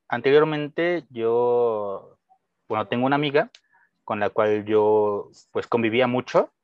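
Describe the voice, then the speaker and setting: A man's voice: on the low side, no room sound. A young Mexican man with a Mexican Spanish accent, a guest teacher answering casually and friendly, with hesitations and restarts. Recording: dull lo-fi dry sound.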